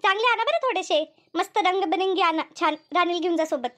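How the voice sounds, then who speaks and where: Woman voicing a shrill, grumbling cartoon village housewife. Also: fairly quick, fairly bright tone. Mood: bossy, complaining. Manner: colloquial, repetitive, grumbling. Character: Shanta, complaining rural Marathi housewife and mother. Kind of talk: comedy cartoon dubbing, everyday domestic chat.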